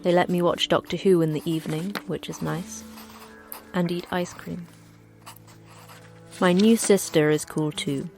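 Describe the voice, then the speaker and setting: A woman playing a sincere, wistful girl in an audio drama monologue, soft and confiding. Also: fairly low pitch, fairly even intonation, measured pace, vocal fry, long pauses, soft background music. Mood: wistful, hopeful.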